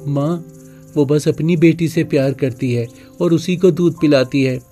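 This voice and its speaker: Man playing a high, soft-toned young calf. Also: fairly even intonation, unhurried, faint music behind, lightly acted. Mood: sad, plaintive. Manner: earnest, plaintive. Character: young orphaned calf son, trusting, forgiving. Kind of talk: Hindi animated moral story acting.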